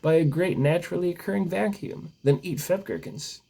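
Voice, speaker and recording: A man voicing an indignant, disgusted gentleman who boasts emphatically in a comic storybook reading. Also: fairly high pitch, brisk, vocal fry, voice pushed up. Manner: emphatic, indignant one-upping. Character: disgusted gentlemen trading exaggerated gherkin-hating boasts.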